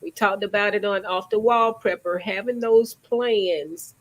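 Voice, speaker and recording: A woman's voice: mid-range voice, unhurried, muffled recording. Woman host with a stuffy cold voice, earnest, run-on talker, casual call. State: congested, stuffy nose. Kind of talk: casual video call chat.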